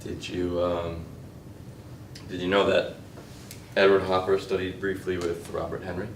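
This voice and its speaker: An actor plays a self-assured man who talks down to his partner in halting, argumentative stage dialogue. His voice is fairly low, heard from a distance in a strongly echoing room with faint noise. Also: level tone. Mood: smug, dismissive. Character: James, condescending, self-assured man who lectures on art. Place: indoor stage, recorded from afar. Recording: echoey stage recording from a distance.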